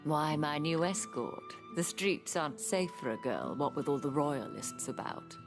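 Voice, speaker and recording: A sly, self-assured madam in a game cutscene, speaking very low and slowly. She teases in genteel, breathy half-whispers, with music behind her. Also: lively intonation. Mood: playful. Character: sly, elegant madam of a pleasure house, teasing and self-assured. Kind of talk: video game cutscene acting.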